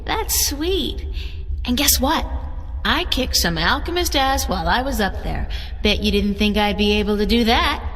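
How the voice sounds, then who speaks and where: A woman's voice: a little high, lively intonation, unhurried, fairly bright tone, in a large hall. An actress voicing a playful, boastful young woman in a video game. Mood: teasing. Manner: teasing, boastful.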